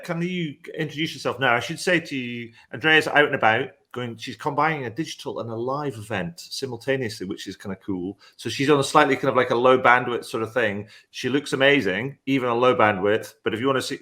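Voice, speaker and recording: A man hosts a panel discussion in an informal, chatty style. His voice sits at a middling pitch. He rambles a little and is complimentary toward the people he addresses. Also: sharp tone. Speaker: panel moderator. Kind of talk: casual panel hosting.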